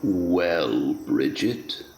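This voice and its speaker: An actor playing a menacing, solemn faerie king in a fantasy radio play, speaking in a gravelly voice. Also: sits low, lively intonation, darkish tone, a little hiss or hum, exaggerated voice. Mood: solemn menace. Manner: slow, solemn, ominous. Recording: echoey, music behind.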